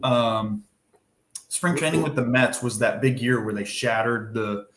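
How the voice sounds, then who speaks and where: A man's voice: medium pitch, fairly even intonation, unhurried, a bit bright. Easygoing young man in an echoey room. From Minnesota. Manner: easygoing, rambling with fillers and restarts. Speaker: baseball pitcher guest. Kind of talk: casual podcast interview.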